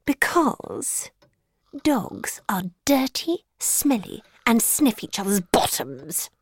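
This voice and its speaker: A woman's voice: mid-range voice, very animated, slow, slightly rough, dry recording, studio quality, exaggerated voice. A woman voicing a smug, haughty pet cat with a condescending, purring drawl in a dramatised children's audiobook. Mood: gloating.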